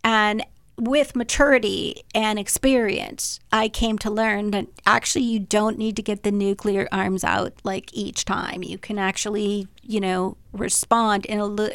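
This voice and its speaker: High-voiced woman lawyer, frank and dry. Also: vocal fry, fairly bright tone, no room sound. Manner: frank, laughs at herself, repeats words. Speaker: attorney, guest. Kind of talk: casual radio interview.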